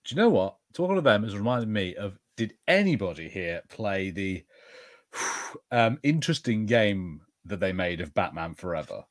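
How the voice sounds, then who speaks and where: A man's voice: on the low side, sing-song, moderate pace. A man chatting and explaining things casually as a co-host on a movie watch-party podcast.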